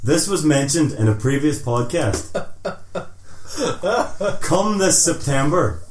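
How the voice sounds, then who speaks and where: A man's voice: mid-range voice, darkish tone. A man co-hosting a casual podcast, joking around, swearing freely and laughing often. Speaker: podcast co-host. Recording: echoey room, faint noise.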